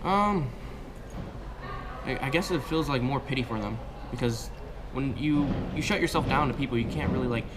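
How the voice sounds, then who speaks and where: A man's voice: mid-range voice, moderate pace. A young man from the United States answering an interview question earnestly and reflectively. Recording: noisy background.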